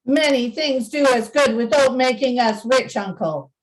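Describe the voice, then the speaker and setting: A woman playing a cheerful, warm-hearted young nephew in a radio play, hearty and earnest. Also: high-pitched, measured pace, low-quality recording.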